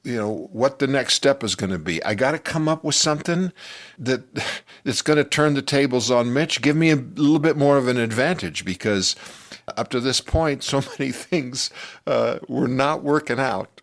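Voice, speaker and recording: An older man telling a personal story from his youth in a conversational, halting and animated way. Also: medium pitch. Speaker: storyteller telling his own childhood memory. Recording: music bed under the voice. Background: music.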